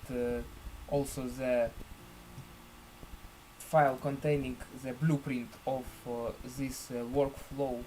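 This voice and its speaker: Slow-talking man presenting a software tutorial. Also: mid-range voice, slight background noise, rough recording. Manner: halting, deliberate phrasing with pauses. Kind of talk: screen-share software tutorial.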